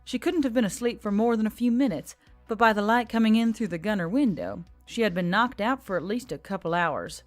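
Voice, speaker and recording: A woman's voice: mid-range voice, brisk. Woman narrating a story over music.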